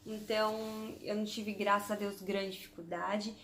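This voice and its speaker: A woman's voice: mid-range voice, little pitch movement, normal speed, a bit bright, slight background noise. Chatty Brazilian woman in an echoey room. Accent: Brazilian Portuguese, from Santos. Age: 30s. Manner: chatty, spells out lists item by item with German words mixed in. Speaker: vlogger. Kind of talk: casual chat to camera.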